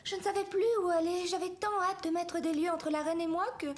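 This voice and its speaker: A woman playing a gentle, innocent young princess in a French-dubbed cartoon fairy tale, speaking politely and earnestly. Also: very high-pitched, fairly even intonation, a little fast, a loud crowd. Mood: apologetic. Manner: courteous, formal, earnest speech.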